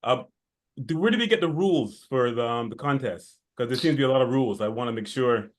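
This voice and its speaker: A man's voice: mid-range voice, expressive, fast talker. A man with a mid-range voice talks casually as an attendee on a video call. He banters in a playful, cocky, teasing manner. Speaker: workshop attendee.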